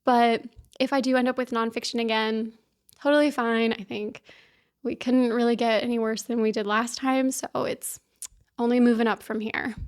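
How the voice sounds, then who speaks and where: A woman from talks straight to her viewers in a casual book vlog. Her voice sits a touch on the high side, and her manner is chatty and upbeat, full of little asides. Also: fairly even intonation, normal speed. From Kansas City, US. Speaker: book vlogger. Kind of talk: casual chat to camera.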